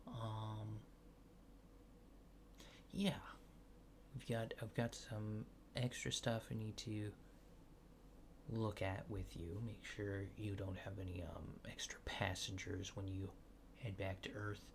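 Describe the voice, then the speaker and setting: A man doing a soft-spoken, often whispered ASMR roleplay as a casual, polite alien technician, rambling hesitantly in a low-key, reassuring way. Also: flat delivery, takes it slowly, gravelly, long pauses, a little hiss or hum. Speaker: ASMR creator.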